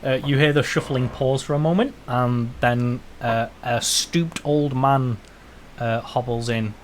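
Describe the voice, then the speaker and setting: A man's voice: medium pitch, fairly even intonation, unhurried, a little hiss or hum. A man narrating an improvised tabletop game as its game master.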